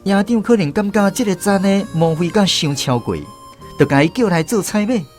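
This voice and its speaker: A man's voice: sits high, expressive, normal speed, soft background music. A man with a Taiwanese accent narrating a scripted first-person story as the narrator of a radio drama.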